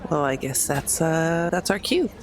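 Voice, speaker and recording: A woman's voice: deep voice, expressive, unhurried, a crowd in the background. A woman playing a tabletop game, speaking casual in-character dialogue.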